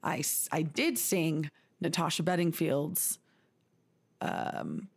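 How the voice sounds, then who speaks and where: A woman's voice: low voice, expressive, slow pace, creaky, slightly rough. A woman in her twenties from Texas, co-hosting a podcast in casual chat and laughing often. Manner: giggly, chatty, laughs often. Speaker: podcast co-host.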